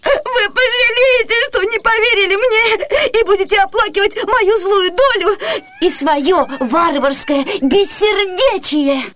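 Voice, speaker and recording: Very high-pitched heartbroken young noblewoman. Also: a little slow, a bit bright, slight background noise. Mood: tearful reproach. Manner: plaintive, theatrical. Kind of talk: classic comedy radio play acting.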